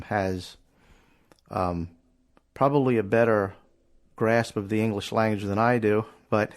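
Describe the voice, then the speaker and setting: A male podcast host with a fairly low voice. He asks easygoing questions in a relaxed interview and often breaks into laughter. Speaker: podcast host, drum teacher.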